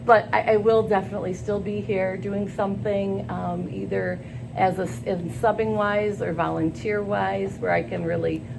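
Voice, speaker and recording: An older woman answering job interview questions in an earnest, halting way, with many pauses and fillers. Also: medium pitch, vocal fry. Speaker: school staff job candidate. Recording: noisy background.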